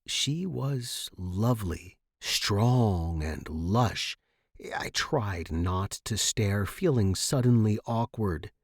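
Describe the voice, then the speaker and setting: Expressive male audiobook narrator, dry sound. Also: lowish voice, drawn-out words, breathy edge, dry recording. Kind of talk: scripted first-person fiction narration.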